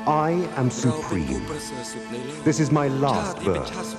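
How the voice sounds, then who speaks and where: A man's voice: medium pitch, wildly swooping pitch, takes it slowly, exaggerated voice. A man voicing a solemn, supreme newborn divine prince in a cartoon, speaking in a grand, declamatory way. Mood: solemn, majestic. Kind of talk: animated film voice acting. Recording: loud music under the voice.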